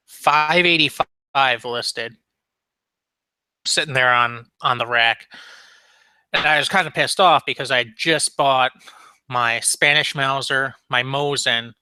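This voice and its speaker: A man's voice: mid-range voice, bright, forceful tone, clean tone, dry recording. A man chatting casually as a podcast co-host, rambling along with frequent ums and restarts. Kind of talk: casual chat about firearms.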